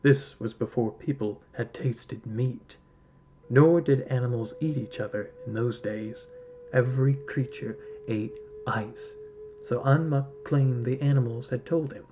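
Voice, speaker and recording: A man reading a literary story aloud as a narrator, in a measured, solemn storytelling manner. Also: mid-range voice, mellow, a little hiss or hum. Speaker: podcast host reading a short story aloud.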